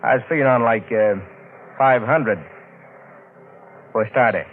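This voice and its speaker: Actor as a wheedling stowaway, tinny vintage radio. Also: male voice, medium pitch, lightly acted. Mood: sly. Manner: slangy, hesitant, wheedling drawn-out talk. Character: down-on-his-luck sly stowaway sailor turned blackmailer. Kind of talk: old-time radio drama acting. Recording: narrow-band vintage radio recording, faint noise.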